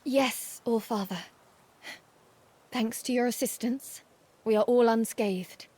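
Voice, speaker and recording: A woman's voice: a little high, slow pace, a little mellow, no echo. A woman voicing a stern, loyal warrior maiden in a video game, speaking formally and earnestly, with music behind. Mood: respectful. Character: solemn, steadfast warrior maiden serving a god. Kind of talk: dramatic video game acting.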